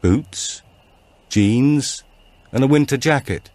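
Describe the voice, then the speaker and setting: A man's voice: medium pitch, takes it slowly, no room sound, pitched above the performer's own voice, natural voice. A man voicing a subdued grieving husband, polite and hesitant, in a thriller audiobook. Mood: polite, puzzled. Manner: quiet, hesitant.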